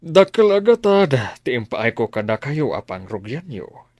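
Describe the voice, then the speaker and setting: An actor with an Ilocano accent playing a wealthy older benefactor in a radio drama, counselling in a deliberate way. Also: male voice, mid-range voice, sing-song, a little mellow, dry recording, muffled recording. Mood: advising. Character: wealthy elder benefactor giving measured business advice.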